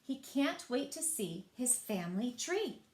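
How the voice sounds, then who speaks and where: A woman's voice: a little high, big pitch swings, a little slow, a little mellow. A woman reading a children's picture book aloud as a storyteller, expressively and with asides to young listeners. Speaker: library storyteller. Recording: roomy, echoing sound.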